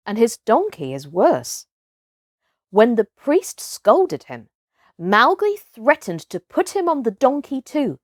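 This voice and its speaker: Slow, lively, higher-voiced woman storyteller. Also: no echo, clean studio sound. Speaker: storyteller, actress. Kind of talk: expressive children's story narration.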